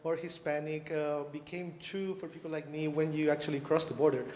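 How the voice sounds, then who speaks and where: A man's voice: fairly high pitch, fairly even intonation, a little fast, a little mellow. A man from Chile, asking a panel a question from the audience, hesitant and searching for words. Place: lecture hall audience. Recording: roomy hall with faint background noise.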